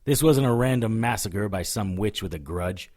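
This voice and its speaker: A man playing a hard-boiled occult detective in an audio drama, narrating and talking in a dry, sardonic way with a husky voice. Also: on the low side, expressive, moderate pace, darkish tone, natural voice. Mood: grim, determined. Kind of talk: first-person noir narration and dialogue in audio drama.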